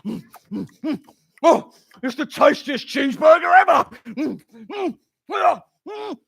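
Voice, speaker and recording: An actor playing a loud, gullible school bully in an exaggerated children's story performance. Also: high-pitched, big pitch swings, measured pace, creaky, exaggerated voice. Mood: blissful.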